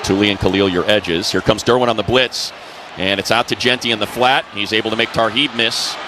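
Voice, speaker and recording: A man's voice: lowish voice, fairly even intonation, a little fast. A man calling live football play-by-play on the radio in clipped phrases, following each play as it happens. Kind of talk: live radio sports commentary. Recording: noisy live stadium broadcast.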